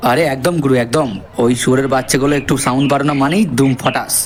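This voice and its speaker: A man's voice: medium pitch, steady tone, very fast, natural voice. A man voicing an eager, crude, loyal henchman in a comedy cartoon. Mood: smug. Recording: faint music behind the voice.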